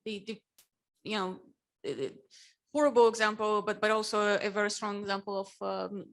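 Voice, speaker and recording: A woman's voice: mid-range voice, normal speed, creaky. Ukrainian woman, Russian native speaker, panelist; hesitant delivery, frequent restarts. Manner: hesitant, many fillers and restarts. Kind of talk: panel discussion.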